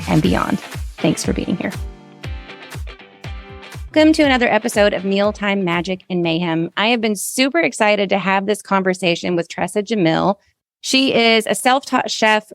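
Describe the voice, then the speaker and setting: Creaky-voiced woman hosting a podcast. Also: on the low side. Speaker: podcast host. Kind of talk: podcast introduction and conversational interview.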